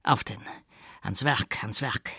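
Man acting theatrical mesmerist doctor, gravelly and intimate. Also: mid-range voice, slow, pushed and bright, no room sound, studio quality, heavily acted. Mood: conspiratorial, incantatory. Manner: grandiloquent, theatrical, incantatory. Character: mysterious old male doctor, occult mesmerist, theatrical, scornful, zealous. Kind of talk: dramatic audiobook character acting.

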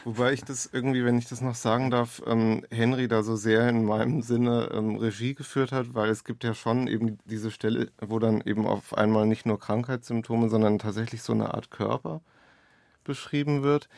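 A man's voice: mid-range voice, flat delivery, moderate pace, creaky, darkish tone, dry recording, studio quality. A man speaking as an author in an interview, reflecting in a searching, winding way on his own writing. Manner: tentative, searching, winding sentences.

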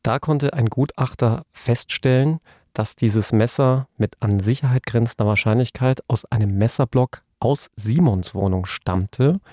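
A man's voice: lowish voice, dark tone, no echo, clean studio sound. A man giving explanatory legal commentary in a podcast discussion.

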